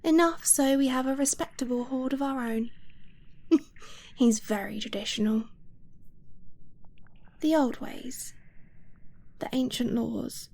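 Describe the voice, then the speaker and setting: A woman voicing an affectionate young dragon-blooded girlfriend in an ASMR romance roleplay, soft and breathy, often near a whisper, tender and confiding. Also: a little high. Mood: fond, confiding. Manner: tender, unhurried, giggly, confiding.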